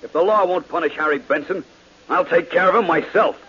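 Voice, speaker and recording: A man's voice: fairly high pitch, brisk, a bit bright, husky. An actor playing a furious man demanding justice for his murdered sister, in 1940s radio acting on a dull old recording. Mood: angry. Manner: insistent, pushy. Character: angry grieving brother demanding justice, man.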